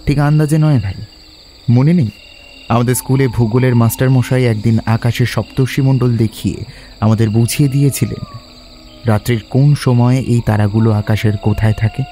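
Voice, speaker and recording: An actor playing a resolute, quick-witted schoolboy adventurer in an audio drama, speaking in a decisive, earnest way. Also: male voice, medium pitch, steady tone, mellow, slight background noise, close to an everyday voice. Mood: explaining. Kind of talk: Bengali audio drama acting.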